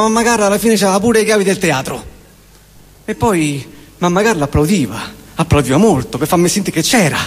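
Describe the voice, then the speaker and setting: A man in his forties with a Roman accent performs a theatrical comic monologue, using emphatic repetitions and deliberate pauses. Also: high-pitched. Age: 40s. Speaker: stage performer. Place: live theatre performance in a hall. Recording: long hall reverb of a live venue.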